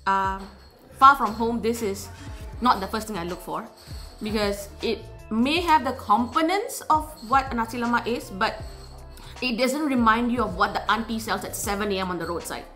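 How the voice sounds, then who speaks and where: A Malaysian-accented woman gives her opinions as a guest taster in casual chat. Her voice sits a little high, with faint music behind her. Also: moderate pace, sharp tone.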